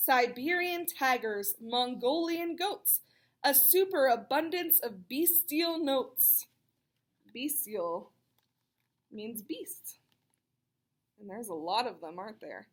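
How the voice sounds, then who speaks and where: A woman reading a children's picture book aloud, expressive and chatty, with casual explanatory asides for young listeners. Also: on the high side, slow, pauses often. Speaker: read-aloud storyteller.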